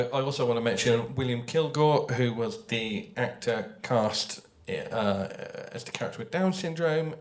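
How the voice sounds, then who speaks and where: A man speaking off the cuff in a panel discussion, enthusiastic but halting, as an audio drama creator. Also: mid-range voice. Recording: echoey room.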